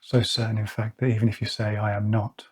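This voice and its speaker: A man with a low, mellow voice leads a meditation at an unhurried pace. His delivery stays even and flat, and his tone is slightly husky. He leaves long, quiet pauses between phrases. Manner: slow, soft-spoken, with long pauses. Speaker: meditation guide. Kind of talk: guided meditation. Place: outdoors or by an open window with birds nearby.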